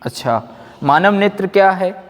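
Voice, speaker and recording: A man's voice: high-pitched, animated, unhurried. A man lecturing as a physics teacher, explaining with repetition, rhetorical questions and frequent check-ins. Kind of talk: classroom lecture. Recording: echoey hall, long reverb.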